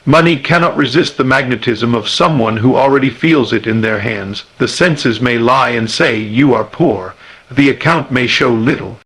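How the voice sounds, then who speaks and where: A man with a husky, slightly rough voice at a medium pitch gives a scripted motivational speech. His delivery is solemn and emphatic, built on measured rhetorical phrases. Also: a bit bright, low-quality recording. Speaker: motivational speaker.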